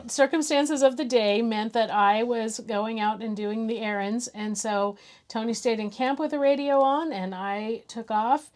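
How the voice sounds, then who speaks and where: A woman's voice: a little high, measured pace, darkish tone. An American woman co-hosting a casual gadget review in a chatty, conversational way.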